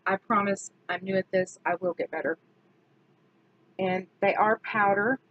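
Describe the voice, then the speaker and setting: A woman, slightly hoarse from allergies, chatting casually through a makeup product review and correcting herself as she goes. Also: mid-range voice, fairly even intonation, fairly bright tone, dry recording, low-quality recording. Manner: chatty, self-correcting, slow and deliberate. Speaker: beauty vlogger.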